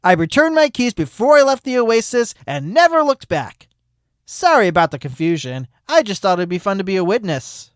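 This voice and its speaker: A woman's voice: mid-range voice, sing-song, normal speed, dry recording, clean studio sound, close to an everyday voice. A woman doing a cocky, drawling male sloth. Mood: smug. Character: smug, hyper male sloth witness.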